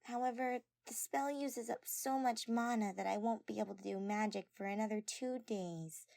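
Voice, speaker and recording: A woman voicing a small, shy teenage girl mage in an audio story, low-key, hesitant and solemn about magic. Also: normal speed, vocal fry, darkish tone, no room sound.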